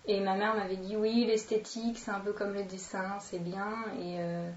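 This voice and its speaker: A woman's voice: medium pitch, flat delivery, normal speed, darkish tone. Young Frenchwoman, distant in an echoey room. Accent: French, from France. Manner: informal, hesitant, thinking aloud. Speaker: interviewee, actress and children's entertainer. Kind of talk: casual spoken self-introduction. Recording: roomy, dull, distant microphone, noisy.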